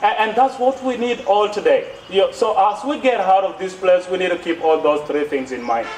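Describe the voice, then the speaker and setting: A man's voice: sits high, moderate pace, darkish tone. A young man with an East African accent making an emphatic public speech, repeating phrases and posing rhetorical questions. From born in South Sudan, grew up in Kenya. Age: young adult. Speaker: youth speaker at a conference plenary. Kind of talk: public speech, impassioned advocacy. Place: outdoor stage under trees at a podium. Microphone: thin gooseneck podium microphone. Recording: echoey, distant podium mic with background noise.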